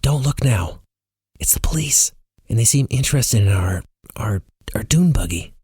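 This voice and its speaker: A man's voice: medium pitch, sing-song, moderate pace, a little mellow, husky, dry recording, studio quality, voice pushed up. A man voicing a nervous, jittery young woman in audiobook dialogue, flustered and hesitant as she talks. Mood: wary, urgent. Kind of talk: first-person audiobook dialogue.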